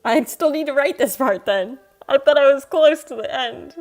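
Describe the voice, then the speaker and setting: A woman's voice: sits high, moderate pace. A young adult woman livestreamer chatting casually with her viewers in a self-deprecating way. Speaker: live streamer. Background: soft video game music.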